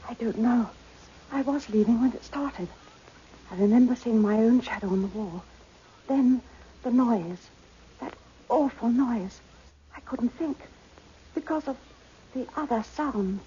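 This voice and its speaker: A woman's voice: a little high, unhurried, pauses often, dark tone, close to an everyday voice. Actress as a shaken, frail woman, muffled old radio. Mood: shaken, distressed. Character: shaken young woman, weak, distressed, apologetic. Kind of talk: 1960s radio drama acting. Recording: dull, noisy old radio recording.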